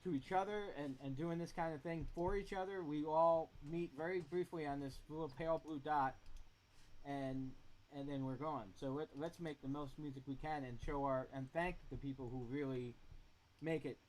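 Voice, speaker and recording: A man's voice: fairly high pitch, fairly even intonation, normal speed, darkish tone, a little hiss or hum, low-quality recording. A man on a video call chatting about his guitar years, reflective and rambling with frequent restarts. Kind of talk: casual chat.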